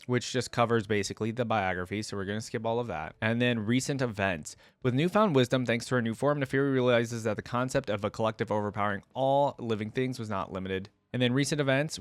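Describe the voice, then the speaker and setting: A man's voice: lowish voice, no room sound. A man hosting a gaming reaction video, commenting informally and sometimes reading aloud. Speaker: host of a gaming lore reaction channel.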